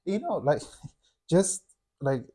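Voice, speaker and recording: Halting man chatting casually. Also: a little high, sing-song, mellow, husky. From Afghanistan. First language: Hazaragi. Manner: halting, searching for words, frequent "like". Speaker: English teacher and channel co-host. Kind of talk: casual conversation with his wife for learners.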